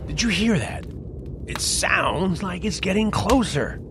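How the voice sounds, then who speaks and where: A man's voice: sits high, lively intonation, a little slow, loud music behind the voice. An actor playing an eager, talkative newspaperman in a western audio drama, with a hesitant, stammering, wordy delivery. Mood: nervous, alarmed. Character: eager, jittery, talkative male newspaperman out west. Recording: noisy ambience behind the voice.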